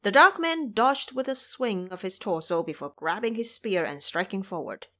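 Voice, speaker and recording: A woman's voice: medium pitch, normal speed. A woman narrating a classic fantasy adventure in an audio drama, telling it vividly. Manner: vivid, expressive storytelling. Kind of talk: scripted storybook narration.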